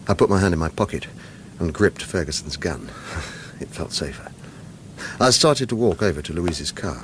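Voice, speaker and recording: Radio thriller lead: a stoic former government killer, deep and understated yet varied in pitch, world-weary. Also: male voice, slight background noise. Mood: tense, watchful. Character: weary ex-government assassin on the run, guarded and stoic. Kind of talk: radio thriller acting with first-person narration.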